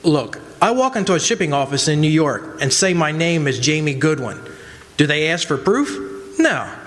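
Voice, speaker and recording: An actor playing an emphatic, unbending county prosecutor, argumentative and dismissive, in a radio drama. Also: male voice, fairly high pitch, big pitch swings, normal speed. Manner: forceful rhetorical questioning, dismissive. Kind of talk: old-time radio drama acting. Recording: echoey hall stage recording.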